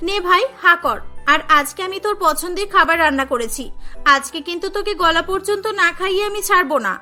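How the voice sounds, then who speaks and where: A woman voicing a humble, gentle young sister in a cartoon story, sweet and earnest, with music behind. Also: very high-pitched, lively intonation, fast talker, full character voice. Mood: affectionate.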